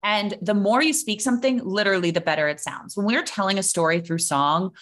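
A woman's voice: medium pitch, a little fast, fairly bright tone. A young woman, a singing coach, chatting on a podcast and breaking into sung demonstrations. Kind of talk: casual podcast chat.

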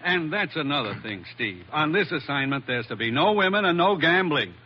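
An American actor playing a stern, brisk commissioner in a 1940s radio drama. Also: male voice, fairly high pitch, very animated, husky. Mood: stern, urgent. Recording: muffled old radio recording.

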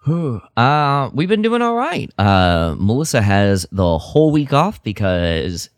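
A man's voice: mid-range voice, sing-song, measured pace, no room sound, studio quality. A man co-hosting a podcast in casual chat, talking with enthusiasm and emphasis. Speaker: podcast co-host. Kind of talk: casual chat about a radio drama.

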